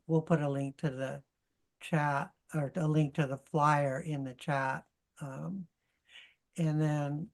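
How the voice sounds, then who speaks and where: A middle-aged woman with a low voice introduces a speaker on a video call. She talks informally and haltingly, correcting herself as she goes, like a host working loosely from notes. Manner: halting, self-correcting, conversational.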